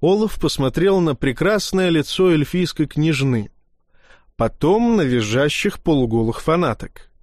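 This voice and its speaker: A man reading a humorous fantasy tale aloud as an audiobook narrator, lively in his storytelling. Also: a little high, a little slow, dry recording, studio quality.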